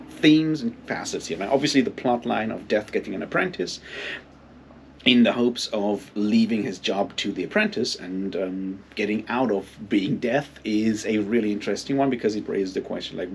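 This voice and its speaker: A man giving a casual, unscripted book commentary in a halting, rambling way, with plenty of filler phrases. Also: lowish voice, vocal fry, slight background noise. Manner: halting, hesitant, rambling delivery with frequent hedging filler phrases. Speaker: book reviewer and readalong host.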